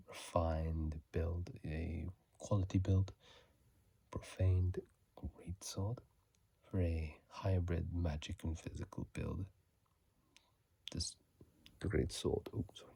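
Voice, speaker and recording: A man giving casual, halting ASMR commentary in a hushed, breathy whisper, sleepy and close to a phone microphone. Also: low-pitched, steady tone. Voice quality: breathy, gravelly soft whisper. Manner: slow, halting, hushed delivery. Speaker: video host.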